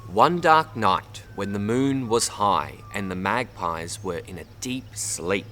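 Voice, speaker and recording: A man's voice: on the low side, slight background noise. Australian man reading a children's story expressively. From Bundaberg, Queensland, Australia. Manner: expressive, addresses young listeners with pauses for repeating. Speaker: storybook reader. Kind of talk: read-aloud children's story, narration with word teaching.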